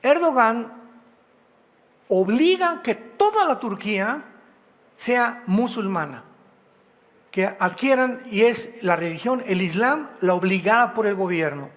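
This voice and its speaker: High-voiced Mexican preacher in a reverberant hall. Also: male voice, expressive, slow, pauses often, a bit bright. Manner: emphatic preaching with rhetorical questions to the congregation. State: a little tired. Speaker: pastor. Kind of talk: sermon teaching.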